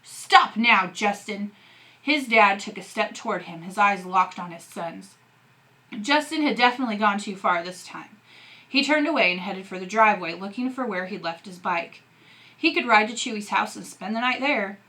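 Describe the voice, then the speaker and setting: Bright-voiced woman reading a novel aloud. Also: medium pitch, expressive, moderate pace, poor audio. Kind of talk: expressive audiobook-style narration of a novel.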